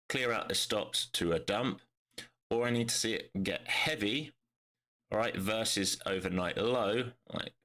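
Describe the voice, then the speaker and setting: A man with a low voice talks through the charts in a brisk stream of live commentary, thinking aloud as he goes. His tone is bright and forceful, but a head cold leaves him nasal and bunged up. He speaks close to a desk microphone. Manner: brisk, thinking aloud, frequent "all right?" tags. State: congested with a cold. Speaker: day trader streaming live market analysis.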